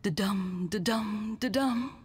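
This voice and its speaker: A woman playing a serene, gentle young woman in a video game, speaking softly and poetically with a breathy voice. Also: medium pitch, takes it slowly, a little hiss or hum, natural voice. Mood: dreamy, hushed. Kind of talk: video game character acting. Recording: long reverb.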